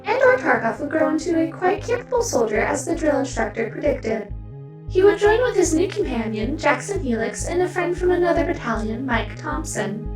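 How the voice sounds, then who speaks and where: Polite ai archive narrator lady, high lilting voice, echoey and distant actress, music behind. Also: big pitch swings, normal speed. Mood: poised. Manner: poised, polite. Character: polite, cheerful female computer archive guide. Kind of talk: scripted narration. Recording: echoing, distant voice over music.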